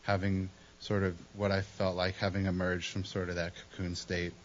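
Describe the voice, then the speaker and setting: A man speaks slowly and hesitantly about spiritual growth, pausing on many ums. His voice sits very low and stays flat, heard on a dull recording with a faint hiss behind it. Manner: soft-spoken, slow and hesitant with many ums. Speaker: recovery meeting speaker. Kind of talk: reflective spiritual share.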